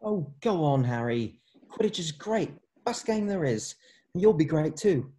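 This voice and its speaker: A man plays a young schoolboy wizard in an online table read. He is eager and easily alarmed, with swooping pitch and a mellow tone. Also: big pitch swings, unhurried. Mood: encouraging.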